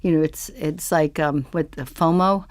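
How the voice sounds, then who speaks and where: A woman's voice: low voice, steady tone, moderate pace. A woman reflecting thoughtfully as a guest in a panel discussion.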